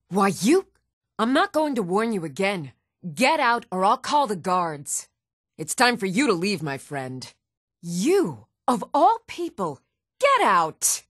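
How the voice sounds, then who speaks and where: A woman's voice: high-pitched, fairly bright tone, no echo, studio quality, exaggerated voice. A woman playing a stern, challenging Nord townswoman ready for a fight in a fantasy video game. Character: fierce, even-tempered Nord townswoman warrior, commoner in a fantasy world. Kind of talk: fantasy video game combat and reaction lines.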